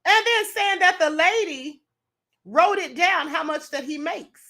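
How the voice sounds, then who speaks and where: A woman hosting her own commentary show, reacting to videos in a sassy, animated way and laughing often. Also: very high-pitched, unhurried. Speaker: show host.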